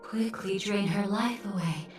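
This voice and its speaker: A woman voicing a cool, perceptive confidante in a video game, speaking in a measured, detached and philosophical manner. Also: mid-range voice, natural voice. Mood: calm, thoughtful. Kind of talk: dramatic video game voice acting. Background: music.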